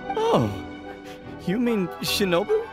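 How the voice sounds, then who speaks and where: An actor voicing a flustered, stammering young gang underling in a video game, excitable and cowardly. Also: male voice, sits high, slow pace, music in the background, full character voice. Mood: surprised. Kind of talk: English video game dub acting.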